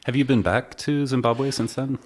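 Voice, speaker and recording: A man hosting a podcast interview, asking friendly, unhurried questions while seated indoors in a conductor's room. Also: medium pitch, fairly even intonation. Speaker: podcast host, double bass player. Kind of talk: casual interview conversation.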